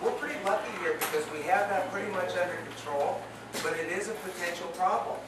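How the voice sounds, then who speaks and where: A man's voice: high-pitched, brisk. An older man leading an interactive lesson, encouraging his listeners and praising answers. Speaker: guest presenter. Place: classroom. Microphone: far camera. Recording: very echoey, distant, noisy classroom.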